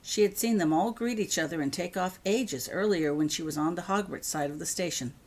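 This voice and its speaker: A woman's voice: lowish voice, brisk, darkish tone. A woman reading scripted audiobook narration of a story as its author. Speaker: author reading their own fan fiction.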